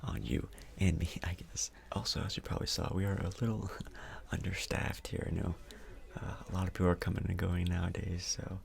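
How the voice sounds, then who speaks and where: Soft-spoken man, low gravelly ASMR murmur. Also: level tone, brisk. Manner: hesitant, casual, reassuring. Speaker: ASMR performer role-playing a doctor. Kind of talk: soft-spoken ASMR role-play, near whisper.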